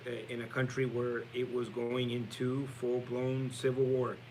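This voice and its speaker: A man in his forties from El Salvador, telling his personal story as a podcast guest in a halting, reflective way. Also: mid-range voice, completely flat delivery, a little mellow, slight background noise, rough recording. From born in El Salvador, moved to Canada at nine. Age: 40s. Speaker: podcast guest, real estate entrepreneur.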